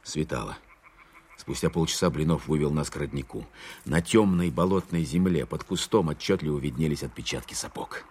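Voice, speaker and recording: Very deep-voiced wartime narrator. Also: male voice, moderate pace, darkish tone, husky. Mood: narrating. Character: reflective male wartime counterintelligence captain narrating his story. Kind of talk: first-person dramatised narration.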